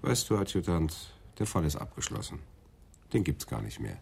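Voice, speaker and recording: A dryly dismissive police detective in a radio drama. The voice is extremely deep, with a husky edge, and the delivery is matter-of-fact. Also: male voice, sing-song, normal speed, darkish tone, exaggerated voice. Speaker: actor in a radio crime drama. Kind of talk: German radio play acting, office dialogue.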